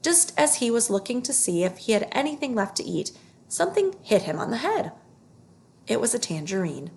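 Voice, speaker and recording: Creaky-voiced woman reading a children's story. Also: fairly high pitch, sing-song, normal speed, darkish tone, a little hiss or hum. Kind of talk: read-aloud children's story narration.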